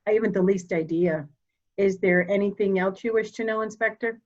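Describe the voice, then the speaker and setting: A woman's voice: medium pitch, a little mellow, close to an everyday voice. Woman acting a cold, blunt old mother, muffled sound. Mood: composed, candid. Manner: formal, deliberate, blunt. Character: proud, cold old mother, candid and slightly deaf. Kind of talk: dramatic reading of a murder mystery.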